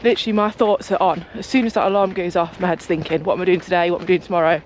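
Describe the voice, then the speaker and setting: A young woman, chatting casually to her camera as a cycling vlogger, rambling and talkative, her voice a bit croaky from just waking up. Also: lowish voice, steady tone, rapid-fire, a little mellow, slight background noise. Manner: chatty, rambling, talks a lot. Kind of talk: casual vlog chat to camera. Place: home, then outdoors on a walk.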